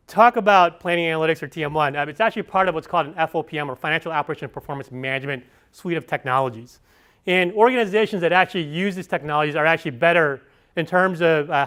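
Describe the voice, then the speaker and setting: A man with a heavy Chicago accent talks quickly through a conference session. His voice sits a little high, and his delivery is loose and full of fillers. He keeps turning to the audience with questions. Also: a bit bright. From Chicago area. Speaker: presenter. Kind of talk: conference presentation.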